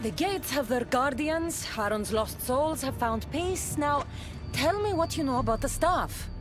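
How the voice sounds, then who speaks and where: A woman's voice: high voice, lively intonation, unhurried. An actress playing a defiant, blunt female mercenary warrior in a video game, demanding in manner. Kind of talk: video game cutscene acting. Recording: loud music under the voice. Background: music.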